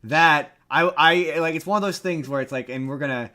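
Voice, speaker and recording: A man's voice: on the high side, normal speed, bright, forceful tone. A man hosting a podcast with casual comedic chat, talking animatedly and repeating phrases for comic emphasis. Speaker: podcast host. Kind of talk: casual comedic chat about pop culture.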